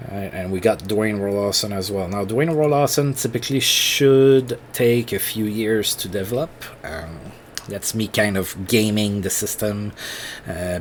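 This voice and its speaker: A man with a French accent, thinking aloud in halting, casual commentary while playing a management game on his computer. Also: low voice, slight background noise. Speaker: let's play host.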